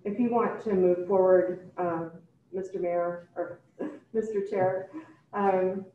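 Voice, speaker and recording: A woman working as a city staffer at a meeting, speaking in a halting, apologetic way from an echoey room. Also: fairly low pitch, fairly even intonation. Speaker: city staffer running the meeting's video call. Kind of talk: informal meeting updates. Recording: echoey room, distant mic, muffled, noisy.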